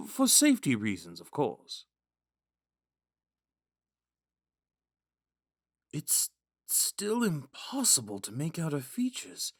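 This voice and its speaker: Casting: male actor as young romantic prince, gentle and courteous, slow musing delivery with lively pitch swings, intimate dry sound. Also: dry recording, exaggerated voice. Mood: wistful musing. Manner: musing, hesitant, courteous, with sighs and whispered asides. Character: young prince, third in line, wistful, gentle, smitten romantic. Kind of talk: romantic audio roleplay with inner monologue.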